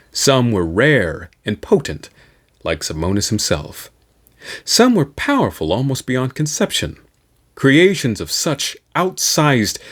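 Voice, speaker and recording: A man narrates a science fiction audiobook in a slightly low voice. His intonation moves widely from phrase to phrase. The delivery is measured and dramatic, shaped as scripted storytelling. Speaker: audiobook reader.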